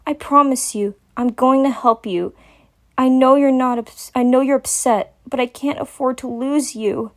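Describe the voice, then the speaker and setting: Woman voicing an earnest, high-voiced caring unicorn mare. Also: fairly even intonation, measured pace. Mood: gentle, earnest. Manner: soothing, earnest, insistent. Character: caring, earnest young female unicorn, motherly and firm.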